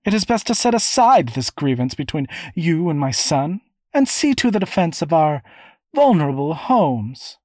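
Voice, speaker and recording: A man's voice: a little high, big pitch swings, normal speed, a little mellow, no room sound, pitched above the performer's own voice. A man voicing a stern, dignified older noblewoman in a story, firm and formal. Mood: stern, final.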